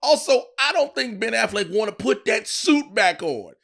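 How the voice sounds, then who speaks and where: A man's voice: sits high, expressive, normal speed, gravelly, fairly bright tone. A man co-hosting a comedic podcast, chatting casually and profanely, giving strong opinions and acting out imagined dialogue. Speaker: podcast co-host.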